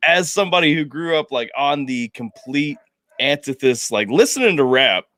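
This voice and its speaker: Animated man chatting about music. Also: a little high, moderate pace, no echo. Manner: animated, filler-heavy, lots of "like". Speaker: podcast host. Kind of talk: casual music chat.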